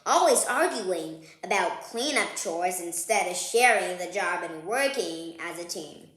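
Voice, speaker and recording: A woman reading a children's picture book aloud, playful and expressive, chatting to young listeners between lines. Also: lowish voice, a little slow. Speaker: read-aloud host. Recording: roomy, echoing sound.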